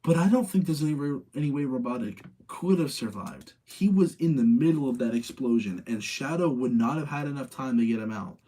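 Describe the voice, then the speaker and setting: A man's voice: mid-range voice, lively intonation, brisk, hardly any pauses, dark tone, low-quality recording. A tired man hosting a casual live rating session, chatting with his audience, rambling and thinking aloud as he gives his opinions. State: tired late at night.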